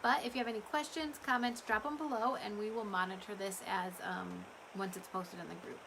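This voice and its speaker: A woman's voice: medium pitch, fairly even intonation, a little fast, vocal fry, noisy background. A woman chats casually and ramblingly to customers on a livestream, her voice a little strained from talking. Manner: rambling, chatty. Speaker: small business owner hosting a live update.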